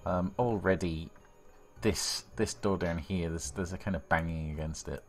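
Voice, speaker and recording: A man's voice: deep voice, normal speed, soft background music. A man improvising narration as game master of a tabletop role-playing game, speaking informally with some swearing.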